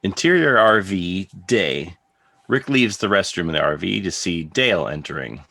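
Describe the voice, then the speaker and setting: A man's voice: low voice, lively intonation, normal speed, vocal fry, bright, forceful tone. A man narrating scripted stage directions for a drama reading.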